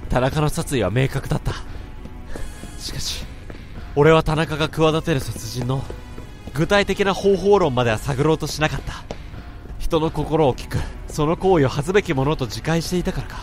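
A man's voice: fairly high pitch, natural voice. An actor playing a tense office worker who narrates his own inner thoughts in a radio drama. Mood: brooding. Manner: tense, brooding, rising to urgent shouts. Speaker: narrator and protagonist.

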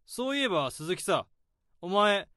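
A man's voice: high-pitched, very animated, measured pace, pushed and bright, no echo, clean studio sound, heavily acted. An actor playing a nervous, overworked office worker, switching between flustered dialogue and tense first-person inner monologue. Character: overworked nervous office drudge man hiding a secret. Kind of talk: radio drama acting with narration.

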